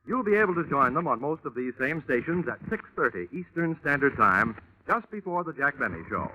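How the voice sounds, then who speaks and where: A man's voice: a little high, very animated, fairly quick. An American man announcing a network promo in a polished, upbeat 1940s radio style. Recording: muffled 1940s radio recording.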